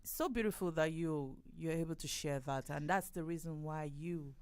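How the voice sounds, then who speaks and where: A woman hosting a casual chat interview, animated and laughing often, with lots of affirming hums. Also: low-pitched, normal speed, dry recording. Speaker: talk show host.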